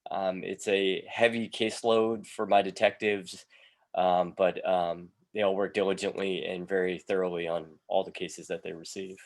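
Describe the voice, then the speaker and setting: A man, a police sergeant giving a presentation over a video call, sounding congested from a cold and pausing often with ums. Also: low-pitched, flat delivery. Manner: hesitant, many ums between phrases.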